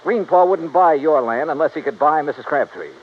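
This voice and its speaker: Actor as blunt radio detective, noisy old recording. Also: male voice, on the high side, expressive, brisk, phone-quality sound, natural voice. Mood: accusing. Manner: clipped, blunt, matter-of-fact. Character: hard-boiled male insurance investigator, blunt and dogged. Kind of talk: 1950s radio detective drama acting.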